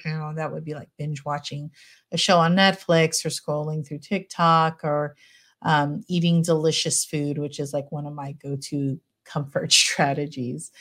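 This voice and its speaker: This is a middle-aged woman psychologist chatting as a podcast guest. Her voice sits a bit low, and her manner is gentle and relaxed. She wanders through thoughts with plenty of fillers and light laughter. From United States. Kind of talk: casual podcast interview.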